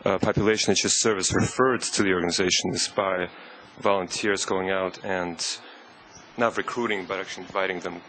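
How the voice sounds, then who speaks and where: A man from the audience, slowly and tentatively asking a question to a panel. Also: deep voice, steady tone, a bit bright, low-quality recording. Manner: slow, careful, tentative. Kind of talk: question from the floor.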